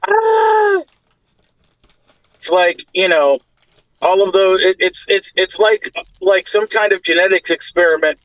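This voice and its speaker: A man's voice: high-pitched, a bit bright, no echo, poor audio. A man from the US Midwest co-hosting a podcast, telling stories in a rambling, chatty way. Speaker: podcast co-host. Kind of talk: casual podcast chat.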